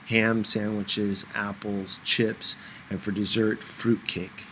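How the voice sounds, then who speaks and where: A man's voice: fairly low pitch, level tone, takes it slowly, fairly bright tone, low-quality recording. Man narrating a novel, faint background noise. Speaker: audiobook reader. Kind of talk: scripted first-person novel narration.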